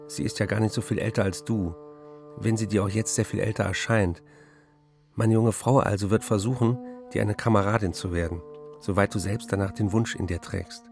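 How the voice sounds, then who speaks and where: A man's voice: fairly low pitch, a little mellow, close to an everyday voice. An actor playing an earnest middle-aged father, formally reading out his letter to his son in a radio play. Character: earnest fifty-year-old father, a troubled writer writing to his son.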